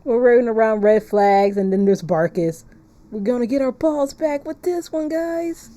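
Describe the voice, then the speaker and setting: A woman's voice: on the high side, moderate pace, dark tone. A woman streaming a game, chatting casually and playfully as she reacts aloud. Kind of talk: casual live-stream chat while playing a visual novel.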